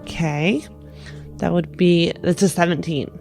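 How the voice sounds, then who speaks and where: A woman's voice: lowish voice, fairly even intonation, unhurried, gravelly, darkish tone. Casual female tabletop player, music behind. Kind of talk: casual game chat.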